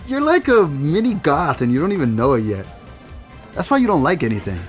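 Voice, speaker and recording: A man's voice: a little high, sing-song, fairly quick. A man playing a young record store clerk who loves goth music, with a playful, teasing way of talking. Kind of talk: audio drama acting.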